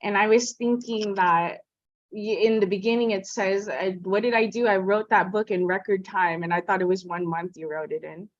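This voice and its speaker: A woman's voice: mid-range voice, steady tone, normal speed. Bubbly American woman on a dull call line. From California, USA. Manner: bubbly, laughs often. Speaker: interviewer. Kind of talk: casual video call chat. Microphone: video call.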